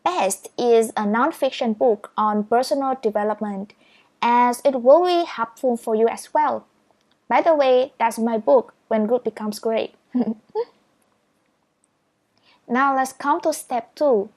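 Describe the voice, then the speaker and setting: A woman whose first language is Vietnamese teaches speed reading in English. Her voice sits fairly high and she takes her time, talking slowly and patiently. She walks through each step in an encouraging manner. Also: a little mellow. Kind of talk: step-by-step tutorial.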